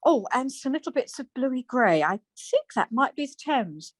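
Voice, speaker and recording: Bright, huffy wife with expressive high-ish voice , acted by a woman. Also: dry recording. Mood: bright, eager. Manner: chirpy, indignant, quick to retort. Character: Margaret, bright, stubborn, easily huffy wife in lockdown. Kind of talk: naturalistic radio play acting.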